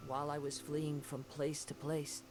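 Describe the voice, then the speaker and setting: An actor playing a young, melancholy wandering samurai in a video game, speaking in measured, poetic, formal phrases. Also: male voice, on the high side, completely flat delivery, natural voice. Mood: solemn, wistful. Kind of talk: video game character dialogue. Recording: game audio under loud music.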